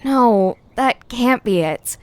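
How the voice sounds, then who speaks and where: A woman's voice: a little high, animated, slow pace, no echo. An actress playing an embattled woman puzzling over a supernatural mystery in an audio drama. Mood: troubled denial.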